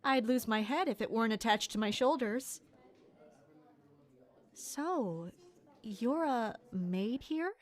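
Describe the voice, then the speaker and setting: Casting: young betrothed noblewoman for audio drama, female actor, high-pitched, friendly, curious, openly candid. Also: expressive, takes it slowly, long pauses. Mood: warm, chatty. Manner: chatty, inquisitive, candid.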